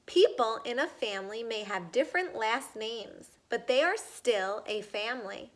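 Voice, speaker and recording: A woman reading a children's picture book aloud in a deliberate way, putting questions to young listeners. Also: a little high, animated. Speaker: read-aloud reader.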